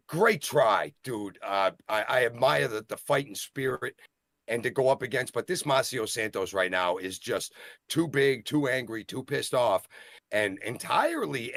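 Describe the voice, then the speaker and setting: A man with a Boston accent co-hosting a casual wrestling review, talking in a rambling, excitable way and often cutting himself off. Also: lowish voice, no room sound. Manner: rambling, self-interrupting, excitable. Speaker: wrestling show co-host.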